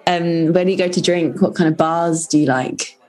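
A woman with a husky voice asking friendly, casual questions as an interviewer. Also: fairly low pitch, normal speed, a little mellow, poor audio.

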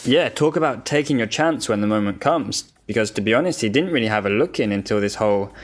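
Male guest pundit, lowish, eager, casual football chat, repeats for emphasis, faint music. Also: lively intonation, quick pace. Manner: enthusiastic, repeats words for emphasis.

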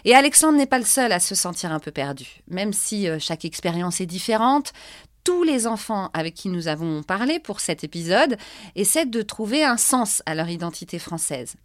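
A woman's voice: medium pitch, big pitch swings, moderate pace, no room sound, clean studio sound. A middle-aged woman from France hosting a podcast, narrating and interviewing in a conversational way with frequent hesitation fillers. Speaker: podcast host.

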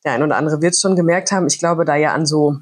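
A woman's voice: deep voice, fast. A woman with a cold hosts a podcast in a chatty, reflective monologue with frequent hesitations. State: has a cold. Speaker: podcast host.

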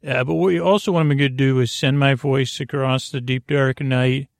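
A man hosting a sleep podcast in a creaky voice, delivering a soothing, meandering bedtime monologue that halts and restarts mid-phrase. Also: mid-range voice, fairly even intonation, moderate pace, dry recording, studio quality. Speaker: podcast host.

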